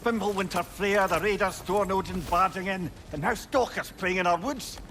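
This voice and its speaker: A man playing a wry, excitable older companion in a video game, with a colourful way of talking. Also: sits high, moderate pace. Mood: exasperated. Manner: colourful, exclamatory, animated. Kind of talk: video game cinematic acting. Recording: loud game noise over the voice.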